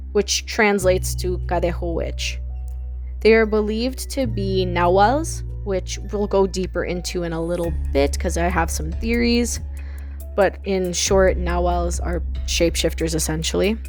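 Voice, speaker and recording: A woman's voice: medium pitch, darkish tone. A young woman hosting a folklore channel, narrating an explainer with chatty asides, filler words and amused comments on close home mic. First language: English. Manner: chatty, laughs at odd details, many fillers. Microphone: close home mic with audio pops.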